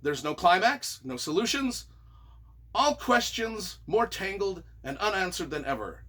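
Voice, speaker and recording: A man reading reviews aloud and reacting casually in an expressive, wry, self-deprecating way. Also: high voice, a little slow. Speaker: author and YouTuber.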